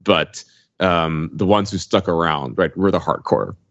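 Deep voiced guy on a podcast, opinionated, dry muffled sound. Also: a little slow, no echo. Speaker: podcast panelist. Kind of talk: casual discussion. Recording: dull, dry lo-fi audio.

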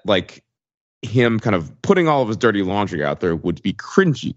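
A man's voice: sits low, dry recording, dull audio. A man discussing ideas casually on a podcast, tentative and often correcting himself mid-sentence. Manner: tentative, hedging, frequent self-correction. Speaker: podcast panelist.

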